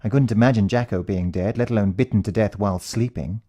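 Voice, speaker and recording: A man's voice: fairly low pitch, quick pace, warm tone. A British man narrating a first-person story in a dramatic, suspenseful way. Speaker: audiobook narrator.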